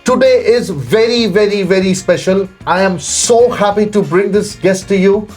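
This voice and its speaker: A man's voice: high-pitched, normal speed, darkish tone. Man, show host, effusive, lots of praise, filler-heavy, faint music underneath. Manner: gushing, full of praise, many "you know" fillers. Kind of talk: effusive interview chat.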